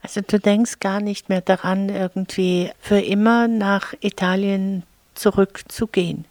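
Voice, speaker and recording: A woman's voice: medium pitch, level tone, unhurried, darkish tone, no room sound. Dry-voiced woman interviewer. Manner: conversational, informal questions. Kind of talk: interview questions.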